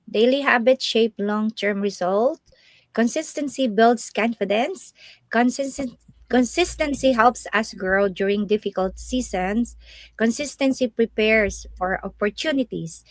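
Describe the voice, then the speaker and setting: A woman's voice: on the high side, a little slow, no room sound. A woman from Iloilo in the Philippines, a co-host who reads the show's points out one by one.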